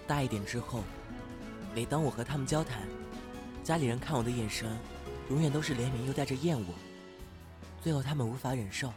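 A young performer playing a timid, excitable half-demon who is hesitant and easily flustered, in an audio drama. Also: mid-range voice, a little fast, pauses often, over music, natural voice. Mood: wistful.

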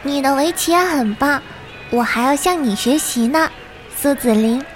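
A woman voicing a polite, lively little girl in a modern audio drama, with a childlike manner. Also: high voice, moderate pace, faint music behind, close to an everyday voice. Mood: friendly, polite. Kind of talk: modern Chinese radio drama acting.

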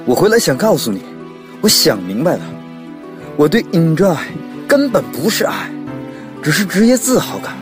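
A man acting as a passionate, lovelorn chef in a radio drama. His pitch swings widely in bewildered pleas, with clear music behind him. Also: sing-song, normal speed, pauses often. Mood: pleading. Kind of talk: Mandarin audio drama acting.

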